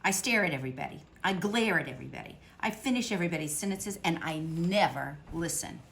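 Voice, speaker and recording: A woman reading a picture book aloud to children at storytime, expressive, with dramatic pauses. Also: deep voice, vocal fry, a little hiss or hum.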